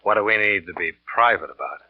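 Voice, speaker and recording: A man's voice: on the low side, lively intonation, fast talker, no echo, close to an everyday voice. An actor playing a bitter, sardonic, world-weary man back from war, in a 1940s radio suspense drama. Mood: cold, accusing. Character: bitter, jilted young war returnee confessing to murder. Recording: muffled old radio recording with music.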